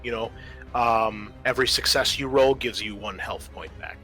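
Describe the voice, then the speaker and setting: A man's voice: medium pitch, steady tone, normal speed, sharp tone, soft background music, low-quality recording. A man acting as game master, narrating and explaining the rules of a tabletop horror roleplaying game in a conversational way.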